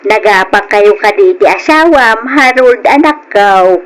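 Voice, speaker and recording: A woman's voice: fairly high pitch, expressive, measured pace, music in the background. An actress playing a concerned mother advising her grown son in an Ilocano radio drama. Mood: questioning, concerned.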